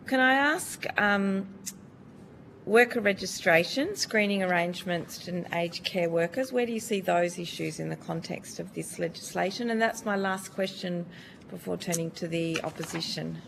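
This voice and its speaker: A woman's voice: on the low side, normal speed, creaky, background noise. A woman senator asking questions at a committee hearing, polite and brief.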